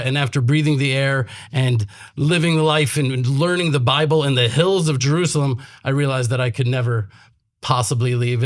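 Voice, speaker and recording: A man born in Texas and living in Israel, co-hosting a casual panel talk in an earnest, heartfelt manner and quoting Hebrew scripture. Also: medium pitch. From born in Texas, USA, living in Israel. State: came straight from reserve duty. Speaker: co-host.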